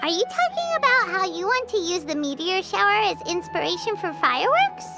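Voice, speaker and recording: A woman playing a tiny, perky, confident childlike fairy companion in a video game, frank and squeaky. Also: big pitch swings, a little fast, full character voice. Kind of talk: scripted video game story dialogue. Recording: voice under loud playful music.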